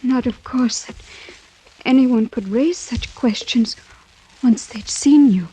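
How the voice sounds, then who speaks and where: A young French noblewoman, earnest, proud and full of anguish. Her voice is high and soft-toned, with formal, pleading melodrama in the manner of golden-age radio acting. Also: slow pace, slightly rough, heavily acted, poor audio. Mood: tender. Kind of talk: 1940s-50s American radio drama acting.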